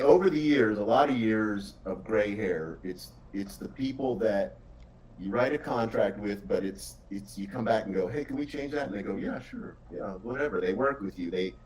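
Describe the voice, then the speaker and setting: A man's voice: on the low side, normal speed, a little mellow, far from the mic, rough recording. A middle-aged man chatting casually and informally as a game developer, with an enthusiastic, encouraging way of talking.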